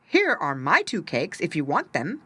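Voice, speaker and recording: A polite little storybook parrot, played by a woman reader, with a touch of indignation. The delivery is bright, with lively rises and falls in pitch. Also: measured pace, breathy edge, heavily acted. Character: small male parrot, polite but growing indignant.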